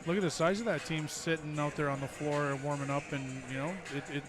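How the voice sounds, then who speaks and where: A man with a fairly high but slightly froggy voice from a cold offers color commentary, stumbling and restarting phrases. Music plays clearly behind the broadcast. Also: steady tone. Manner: halting, repeats words. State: a little hoarse from a cold. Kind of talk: local sports broadcast.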